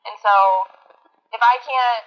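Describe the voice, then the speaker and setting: Chatty young woman with high voice. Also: steady tone, a little slow, highs cut off. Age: young adult. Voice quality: high-pitched voice. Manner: chatty and earnest, many fillers and restarts. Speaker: college basketball player and team captain. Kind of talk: casual interview answers.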